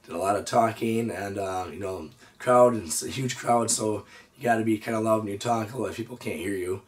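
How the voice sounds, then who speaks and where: Hoarse man vlogging from his reptile room. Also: on the low side, brisk, distant mic. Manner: easygoing, lots of "uh" and "so". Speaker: reptile breeder, channel host. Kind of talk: casual show-and-tell vlog. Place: indoor reptile room with storage drawers.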